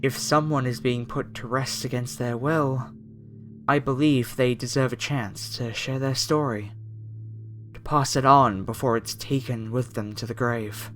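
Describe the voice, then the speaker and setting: A man playing a fanatical masked cultist captor in a horror ASMR roleplay, speaking with reverent, preacherly menace and deliberate pauses. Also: mid-range voice, fairly even intonation, fairly bright tone, faint music behind, lightly acted. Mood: ominously soothing.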